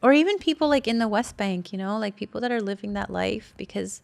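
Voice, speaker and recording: A woman's voice: medium pitch, lively intonation, quick pace, creaky, darkish tone, no echo. A young woman co-hosting a podcast in casual chat, talking freely with lots of fillers and laughing often. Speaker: podcast co-host.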